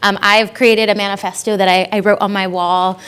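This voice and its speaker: A woman's voice: medium pitch, level tone, brisk, a bit bright, clear, resonant tone. A woman facilitating a live workshop, speaking to the audience in an informal, encouraging way into a handheld microphone. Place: in-person conference session room.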